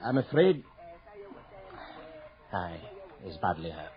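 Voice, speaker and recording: An actor playing an awkward, apologetic company messenger in a radio drama, speaking formally and hesitantly. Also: male voice, sits low, big pitch swings, slow pace, full character voice. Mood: grave, regretful. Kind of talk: 1980 radio drama acting. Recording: muffled old radio broadcast.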